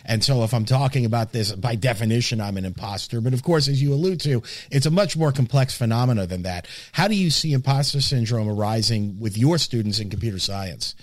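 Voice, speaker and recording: A man hosting a conversational interview, forming wordy questions in a halting way with repeated words. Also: mid-range voice, lively intonation, no echo. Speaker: interviewer, host.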